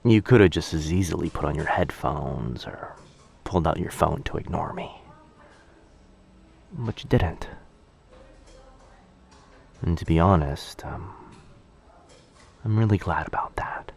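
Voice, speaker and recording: Male actor as shy sweet stranger, very deep pitch, vocal fry, soft-spoken, self-doubting, earnest, ASMR roleplay. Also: measured pace, pauses often, full character voice. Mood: earnest, grateful. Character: shy, sweet, anxious young male stranger in coffee shop. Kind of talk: boyfriend ASMR roleplay acting.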